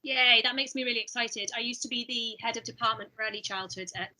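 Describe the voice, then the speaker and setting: A woman's voice: on the high side, fast, bright, forceful tone, poor audio. A woman co-hosting a podcast, upbeat and encouraging as she passes between guests. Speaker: podcast co-host.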